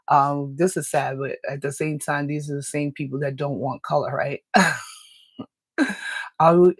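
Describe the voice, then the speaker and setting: A woman hosting a live talk radio show with a raspy voice and a dry throat, lecturing earnestly and answering viewer comments. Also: low voice, no echo. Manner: earnest, lecturing, with small laughs and restarts.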